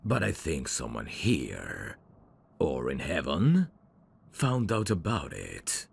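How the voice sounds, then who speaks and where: Man voicing a very deep, gravelly, sly demon. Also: huge pitch swings, exaggerated voice. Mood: gentle, explaining. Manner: theatrical, courtly, drawn-out phrasing with sardonic asides. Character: sly, gleeful male demon servant, courtly and irreverent. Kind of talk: ASMR roleplay audio drama.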